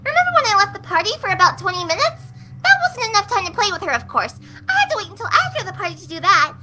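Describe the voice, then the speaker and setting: A woman's voice: very high-pitched, sing-song, fast talker, pushed and bright, soft background music, heavily acted. A woman voicing a cheerful, sadistic female cartoon pony, chirpy and childlike, in a horror fan fiction reading. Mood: gleefully chatty.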